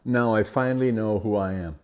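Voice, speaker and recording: An older man from the Netherlands with a Dutch accent, telling his life story in an interview with animated restarts. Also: fairly low pitch, a little fast. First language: Dutch dialect. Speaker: guest. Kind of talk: conversational interview.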